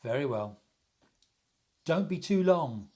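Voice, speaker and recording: A man playing a loyal, practical young gentleman in a radio play, with an earnest, deliberate delivery. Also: fairly high pitch, expressive. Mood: friendly. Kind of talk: theatrical radio play acting.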